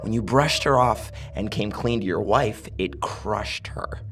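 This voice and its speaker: Man playing a gravelly, vengeful masked torturer. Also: lowish voice, fairly bright tone, recorded from a distance, slight background noise. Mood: bitter. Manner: slow, menacing, taunting, with sudden rage. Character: vengeful masked male torturer, sadistic, grief-stricken, swinging to rage. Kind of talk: horror audio story acting.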